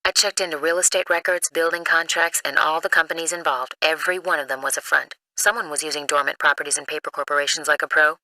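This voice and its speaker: An actress playing an earnest, businesslike intelligence analyst in a video game, crisp and matter-of-fact. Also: female voice, deep voice, steady tone, fast, few pauses, pushed and bright, dry recording, poor audio. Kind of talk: video game cutscene acting.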